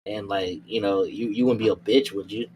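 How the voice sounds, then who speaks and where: A man's voice: on the low side, steady tone, moderate pace. A man playing a tabletop roleplay game, talking in insistent, slangy, casual banter that circles back on repeated phrases. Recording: clear background noise.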